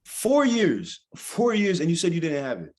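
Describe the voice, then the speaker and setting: A man's voice: a little high, huge pitch swings, moderate pace. A man reading the part of an exasperated, wry young man scolding his pal in a script read.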